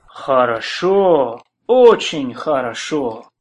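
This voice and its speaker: A man playing a polite foreign man who is learning the language, speaking slowly and deliberately. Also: medium pitch, sing-song, full character voice. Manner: slow, deliberate learner's speech.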